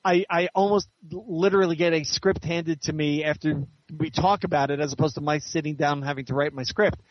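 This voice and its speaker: A man's voice: on the high side, fairly even intonation, a little fast, no echo, dull audio. A man being interviewed as a guest author, chatting casually and explaining things at length.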